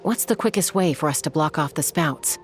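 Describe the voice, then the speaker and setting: A determined young warrior flier from a video game with a low, firm voice. She talks bluntly and decisively, with faint music behind her. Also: expressive, normal speed. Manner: blunt, decisive, impatient to act.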